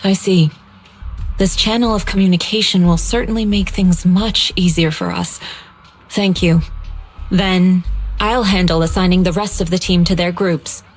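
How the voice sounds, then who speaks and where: A woman's voice: on the low side, fairly even intonation, measured pace, faint music behind. An actress playing a stern, protective martial arts master in a video game, formal and earnest, devoted to her pupil. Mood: composed.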